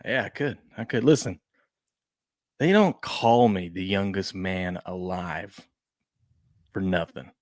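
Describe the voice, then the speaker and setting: Low-voiced hype-prone thirties host. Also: male voice, takes it slowly, long pauses, no room sound, studio quality. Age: 30s. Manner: animated, jumps between mumbling and loud hype. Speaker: sports betting show host. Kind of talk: casual live-stream chat.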